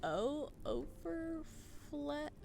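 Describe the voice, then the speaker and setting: A playful, expressive woman streamer reading a visual novel aloud and adding her own reactions. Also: high-pitched, drawn-out words, vocal fry, over music. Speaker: video game streamer.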